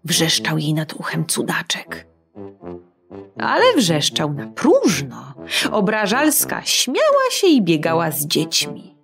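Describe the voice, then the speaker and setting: Slow expressive woman reading a children's tale. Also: low-pitched, gravelly, soft background music. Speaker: storyteller. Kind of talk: children's audiobook narration.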